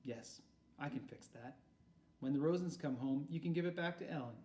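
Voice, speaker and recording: A man's voice: on the high side, normal speed, darkish tone, slight background noise, close to an everyday voice. A man voicing a solemn, protective storybook father, grave and patient in his explanations. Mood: reassuring. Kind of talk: read-aloud storybook character. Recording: roomy echo, far from mic.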